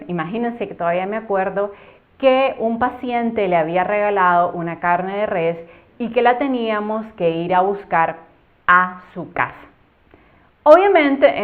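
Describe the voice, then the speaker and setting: A Cuban woman in her thirties, telling a childhood story with earnest, expressive delivery as a YouTube educator. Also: mid-range voice. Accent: Cuban Spanish. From Cuba. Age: 30s. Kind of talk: storytime vlog. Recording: phone-like narrow band.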